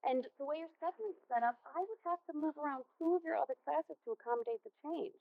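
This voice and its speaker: High-voiced, creaky American school counselor. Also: female voice, no echo, narrow, tinny audio. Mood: patient, reasoning. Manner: measured, reasoning, placating. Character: patient, earnest female school counselor. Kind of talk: stage play acting.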